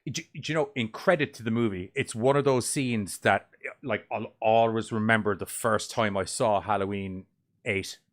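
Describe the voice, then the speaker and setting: A man co-hosting a casual horror movie group chat, rambling and swearing as he tells animated stories. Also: lowish voice, moderate pace. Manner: rambling, profane, animated storytelling. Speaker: podcast co-host.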